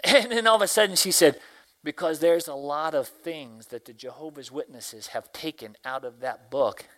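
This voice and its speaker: A man's voice: fairly high pitch, fairly bright tone, low-quality recording. A middle-aged man from Tennessee with a Southern accent, preaching a folksy sermon to a congregation and asking them questions.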